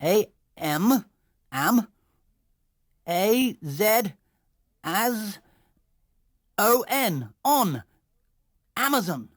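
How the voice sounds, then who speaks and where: A man voicing a young boy captain in a children's audiobook, commanding and cautious, with clear, deliberate announcing. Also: high-pitched, big pitch swings, no room sound, studio quality, pitched above the performer's own voice, exaggerated voice. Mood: intent.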